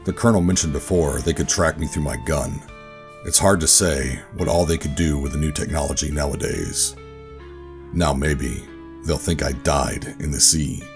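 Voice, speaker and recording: Man acting as weary operative, gruff but tender, bottom-of-the-range pitch, deliberate audio drama narration, music underneath. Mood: brooding, resolute. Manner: brooding, deliberate storytelling. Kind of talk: first-person scripted sci-fi audio drama narration.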